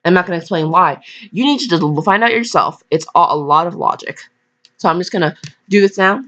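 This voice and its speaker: A woman's voice: lowish voice, lively intonation, fairly quick, a bit bright. A woman casually reading a story aloud, stumbling and breaking off for chatty, jokey asides. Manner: self-interrupting, stumbling, jokey. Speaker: fan fiction reader. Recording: dull lo-fi microphone.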